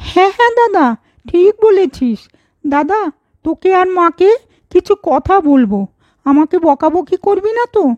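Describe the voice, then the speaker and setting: A woman's voice: extremely high pitch, animated, unhurried, darkish tone, dry recording. A woman voicing an earnest, anxious college-girl younger sister in a children's cartoon story, speaking in a hesitant, confiding way. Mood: worried, hesitant. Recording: dull lo-fi audio over music.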